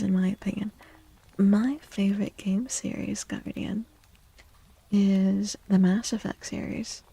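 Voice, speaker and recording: A woman hosts an ASMR livestream in a very soft, breathy voice that often slips toward a whisper. Her delivery is slow and gentle, with a creaky edge and a mellow tone. She sounds close to the microphone as she chats. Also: mid-range voice, dry recording. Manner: gentle, unhurried, greeting chatters. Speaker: livestream host, VTuber. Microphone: close microphone, dry.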